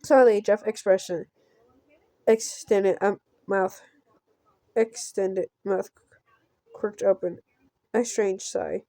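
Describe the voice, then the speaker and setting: A woman doing a casual let's play, reading a visual novel aloud haltingly and breaking off for offhand reactions. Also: medium pitch, steady tone, mellow, dry recording.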